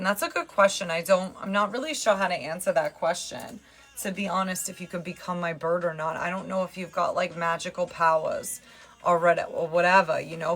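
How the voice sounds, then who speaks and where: Bright, chatty New Jersey woman. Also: on the low side. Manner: chatty and joking, greets viewers by name. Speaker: fitness livestreamer. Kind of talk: casual live-stream chat.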